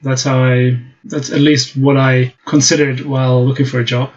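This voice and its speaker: Flat-voiced German man, hesitant. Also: mid-range voice, moderate pace. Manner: hesitant, many fillers and restarts. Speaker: guest. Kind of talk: casual interview talk. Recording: dull lo-fi podcast audio.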